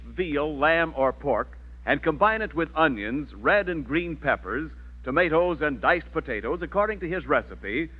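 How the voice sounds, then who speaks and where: An American man announcing and reading scripted advertising in 1940s radio style. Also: a little high. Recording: narrow-band 1940s radio broadcast with music.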